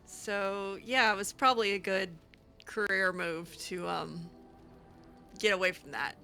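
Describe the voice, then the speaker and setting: Woman doing gamer roleplay, slow and chatty, bright voice, bit hesitant and wry. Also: mid-range voice, fairly even intonation, soft background music. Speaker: gaming channel host roleplaying a mage character. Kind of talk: improvised in-character roleplay commentary, conversational.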